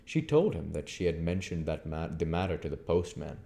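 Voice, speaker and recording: Male amateur audiobook narrator, low register, reading classic fiction aloud. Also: big pitch swings, normal speed, gravelly, warm tone, slight background noise. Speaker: audiobook reader.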